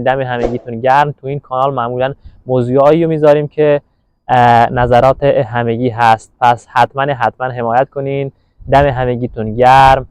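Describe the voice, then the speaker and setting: Male street interviewer, mid-pitched, rattles off lines at high speed. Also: slight background noise. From Iran. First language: Persian. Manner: fast, hypey, repeats words when asking. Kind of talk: street interview and channel intro.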